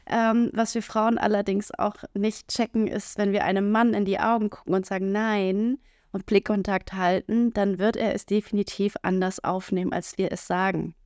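A woman's voice: medium pitch, level tone, moderate pace, a little mellow, clear, resonant tone, no room sound, studio quality. A woman co-hosting a casual talk podcast who speaks frankly and earthily, with plenty of filler sounds. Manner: frank and earthy, many "ähm" fillers, acts out quoted lines. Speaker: podcast co-host.

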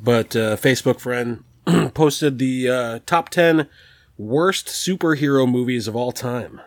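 A man's voice: mid-range voice, measured pace, dry recording, studio quality. A man co-hosting a casual podcast chat, laughing often and quizzing the others. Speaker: podcast co-host.

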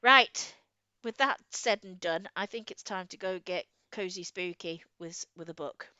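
Female co-host, effusive informal book chat, mid-pitched, dry but slightly muffled recording. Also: measured pace, bright, forceful tone, clear, resonant tone, dry recording. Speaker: podcast co-host.

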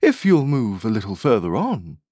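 A woman voicing a gentle young storybook fawn with a soft, sweet voice. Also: rumbling low, wildly swooping pitch, normal speed, breathy edge, dry recording, clean studio sound, exaggerated voice.

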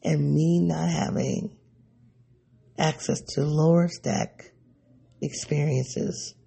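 A man with a raspy, tired voice talking through a personal reflection to his viewers, musing and rambling as he searches for answers. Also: fairly high pitch, dark tone. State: sleepy, voice going. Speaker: vlogger.

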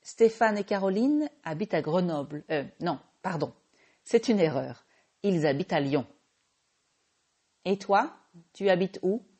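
A French woman who teaches French, hosting a slow, clear language-lesson podcast and patiently correcting her own small slips. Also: lowish voice, lively intonation, dark tone. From France.

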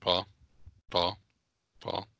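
A man's voice: very slow, bright, forceful tone, no room sound. A man bantering casually as a podcast co-host.

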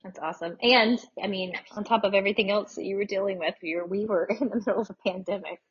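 A woman hosting a podcast interview in a casual, chatty way, with a husky voice. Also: mid-range voice, fast talker. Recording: dull, muffled audio.

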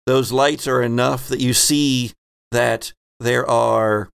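A man acting as game master, narrating a tabletop role-playing scene in a halting, deliberate way. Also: medium pitch, fairly even intonation, gravelly, clean tone.

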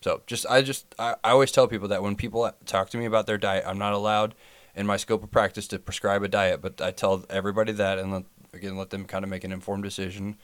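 A man's voice: lowish voice, level tone, fast, dry recording. A man co-hosting a podcast, a health professional explaining things in a plain, matter-of-fact way. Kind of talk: casual chat.

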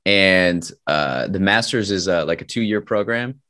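A man's voice: low-pitched, lively intonation, a little slow, bright, forceful tone. A man interviewing a guest in a casual chat, giving short acknowledgments and asking curious follow-up questions.

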